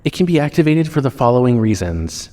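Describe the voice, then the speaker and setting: A man reads scripted horror fiction as the narrator. His pitch sits in the middle range. His delivery is measured and dramatic, in the manner of a storyteller. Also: sing-song, mellow, no echo.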